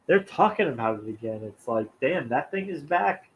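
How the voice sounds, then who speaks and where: A man's voice: medium pitch, lively intonation, moderate pace, lo-fi sound, a little hiss or hum. A man co-hosting a casual podcast, chiming in with wry jokes and mock arguments. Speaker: podcast co-host. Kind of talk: casual late-night podcast chat.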